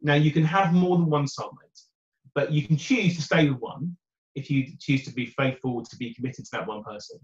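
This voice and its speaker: British man, loud voice, chatty dating coach, roomy echo, dull lo-fi sound. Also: on the high side. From United Kingdom. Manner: self-described loud voice, upbeat and chatty. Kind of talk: conversational interview answers. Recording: roomy, dull remote audio.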